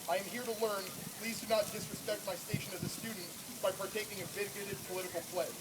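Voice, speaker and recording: A man's voice: high-pitched, level tone, quick pace. A young man in his twenties, a student, reading his own letter aloud at a protest in a formal, earnest way. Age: 20s. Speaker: student speaker. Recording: loud background noise outdoors.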